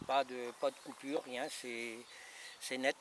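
A man's voice: medium pitch, steady tone, measured pace. A man in his fifties talking casually and repetitively as a radio operator, recorded outdoors by a camera a short way off. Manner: repetitive, colloquial. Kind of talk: casual two-way radio chat. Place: outdoors by a gravel drive in a rural garden. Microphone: camera a metre or two away. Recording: noisy outdoor camera audio with wind.

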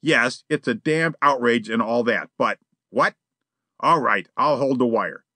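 A man's voice: medium pitch, a little slow, bright, forceful tone, no room sound. Man doing a cheeky, slangy burglar. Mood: wheedling. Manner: slangy, casual, chatty. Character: easygoing slangy male burglar, cheeky and relaxed.

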